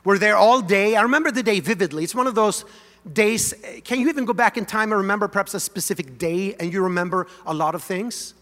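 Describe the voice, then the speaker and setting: A man from Sweden, a native Swedish speaker, telling a story in a conversational, animated sermon to his church. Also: sits high, quick pace, big-room sound. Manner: animated, conversational, leads congregation in song. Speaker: pastor. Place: large church sanctuary.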